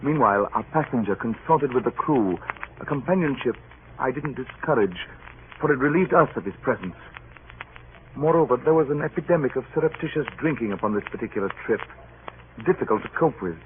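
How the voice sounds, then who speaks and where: An actor playing a stern, weary ship's captain in an old radio drama, speaking in a measured, formal way. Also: male voice, medium pitch, lively intonation, natural voice. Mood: grave, reflective. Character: stern, weary male ship's captain telling his tale. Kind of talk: 1940s radio drama acting and narration. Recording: muffled old radio broadcast, clear hiss.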